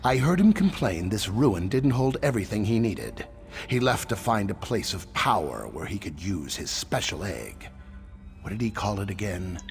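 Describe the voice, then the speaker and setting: Sneaky reptilian treasure hunter for a fantasy game: nervous, wheedling, creaky low voice, animated pitch. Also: male voice, moderate pace. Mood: relieved, wheedling. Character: shifty, self-preserving male lizard-folk relic hunter with a tail. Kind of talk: fantasy video game voice acting. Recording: music behind the voice. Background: game music.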